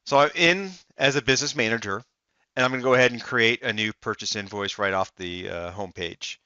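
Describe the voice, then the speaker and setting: A man with a crisp, forceful voice walks through a software demo step by step. He sounds fairly low-pitched and is recorded close and dry, as a voice-over. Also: a little fast, dry recording, dull audio. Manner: explanatory, step-by-step first-person walkthrough. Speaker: software demonstrator. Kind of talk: narrated software demo walkthrough.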